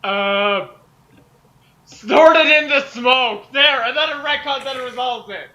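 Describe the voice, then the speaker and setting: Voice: high voice, slow, bright, forceful tone, low-quality recording. A person of mid-range voice chatting casually and hesitantly as a guest author. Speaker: fan fiction author joining the reading. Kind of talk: casual chat.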